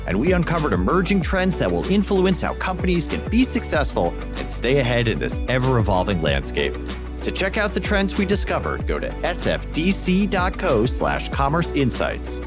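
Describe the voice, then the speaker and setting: Lively-voiced man reading an ad. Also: medium pitch, normal speed, over music. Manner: salesy, emphatic. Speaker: sponsor announcer. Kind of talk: advertisement read.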